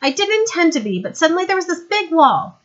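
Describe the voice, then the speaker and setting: A woman voicing a brisk, cheeky young woman delivering messages in an audiobook story. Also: extremely high pitch, very animated, highs cut off, pitched above the performer's own voice. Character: chirpy young woman relaying cheeky messages to a watch sergeant.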